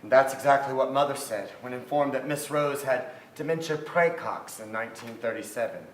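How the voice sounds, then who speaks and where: An actor in his forties playing a reflective playwright remembering his troubled sister in a measured, literary staged reading. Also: medium pitch, lightly acted. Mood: regretful. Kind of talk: dramatic staged reading of memoir. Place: theatre stage before an audience. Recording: echoey theatre stage, noticeable noise.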